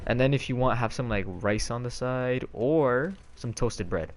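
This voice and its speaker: A man's voice: mid-range voice, a little slow, soft background music. A man streaming from home on a headset mic, rambling in casual chat with many fillers and restarts.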